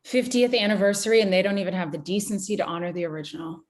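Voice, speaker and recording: A woman acting a sharp-tongued fan in her early thirties in a screenplay table read, with a wry, conversational delivery. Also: mid-range voice, rapid-fire, natural voice. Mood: wry, knowing.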